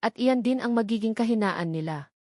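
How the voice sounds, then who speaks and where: A woman's voice: a little high, animated, fairly quick, darkish tone, no echo, lightly acted. Controlled, authoritative male politician, read by a woman narrator, firm and direct, recorded dry, for audio romance drama. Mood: resolute. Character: calm, steely male politician with quiet authority.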